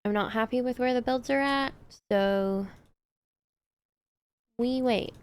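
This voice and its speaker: A woman's voice: on the high side, slow, smooth. A woman streamer chatting casually to herself while she plays a game. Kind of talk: casual gameplay commentary.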